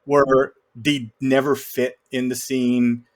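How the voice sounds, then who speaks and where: A man's voice: mid-range voice, little pitch movement, slow. A man in casual film discussion, speaking in a wry, opinionated way. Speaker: podcast guest co-host.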